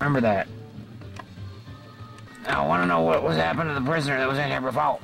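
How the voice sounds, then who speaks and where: A man playing a gruff, urgent man demanding answers in an amateur audio drama, with a gravelly voice. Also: on the high side, fast talker. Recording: old cassette tape, loud music behind.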